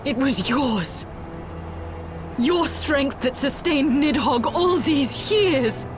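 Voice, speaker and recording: A woman playing a grave, noble young fantasy heroine in a video game, speaking in a measured, formal, earnest way. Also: high-pitched, animated, over music, exaggerated voice. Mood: grave, accusing. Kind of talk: dramatic fantasy game acting.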